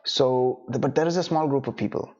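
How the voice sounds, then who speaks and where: A man's voice: medium pitch, fairly quick, a little mellow. Young tamil guy giving a chatty freelance lesson, keeps saying "right?", sound a bit dull. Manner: conversational, many "right?" and "you know" tag questions. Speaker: freelance coach. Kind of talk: online lesson, talking to camera.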